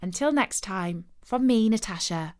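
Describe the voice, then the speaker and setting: A woman narrating a scripted children's story as its host, expressive and carefully phrased. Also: medium pitch, a little slow.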